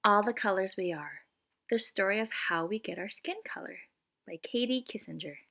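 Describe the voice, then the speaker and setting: A woman's voice: mid-range voice, lively intonation, normal speed, bright, forceful tone. A woman reads a children's picture book aloud like a preschool teacher, explaining things and putting questions to young listeners. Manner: clear explanatory reading with questions posed to children.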